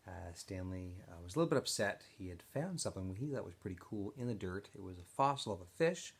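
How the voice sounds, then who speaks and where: A man, a teacher reading a novel aloud to students in an expressive, informal storytelling style. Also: sits low.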